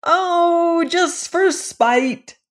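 A woman voicing a crowd of shrill, spiteful little sealskin dwarves in a storybook reading, shouting high and loud. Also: very slow, full character voice. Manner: shouting, screeching and taunting.

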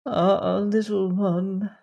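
A woman doing a gentle, sorrowful queen. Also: medium pitch, animated, a little slow, lo-fi sound, close to an everyday voice. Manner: tender, pleading. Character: gentle, sorrowful young queen locked in a tower.

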